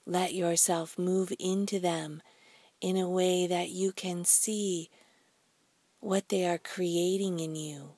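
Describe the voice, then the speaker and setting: A woman leading a guided meditation in a soft, breathy, soothing voice, speaking deliberately in short phrases with pauses. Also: on the low side, fairly even intonation, dry recording. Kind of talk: slow guided meditation narration.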